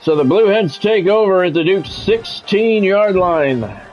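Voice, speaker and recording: An American man with a slightly high voice gives football play-by-play in short, clipped phrases. The sound is dull and lo-fi, with music playing behind him. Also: expressive, a little slow, a little mellow. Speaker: sports play-by-play commentator. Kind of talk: live play-by-play commentary of a football video game.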